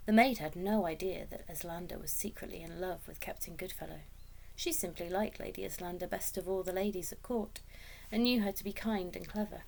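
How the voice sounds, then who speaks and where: A woman reading a children's story aloud as expressive scripted narration. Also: fairly low pitch, fairly quick, vocal fry, hardly any pauses, slight background noise, poor audio. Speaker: story reader.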